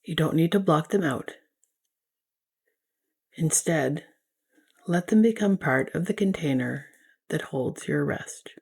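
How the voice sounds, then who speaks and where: A woman guides a restful meditation in a gentle, soothing manner. Her voice sits low and mellow, with plenty of soft vocal fry. She gives each instruction calmly, like a teacher of yoga nidra. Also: takes it slowly, pauses often.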